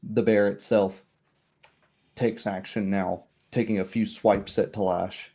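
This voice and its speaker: A man narrating a tabletop roleplaying game as game master, in a measured way. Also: lowish voice, fairly even intonation, vocal fry, dark tone, breathy edge.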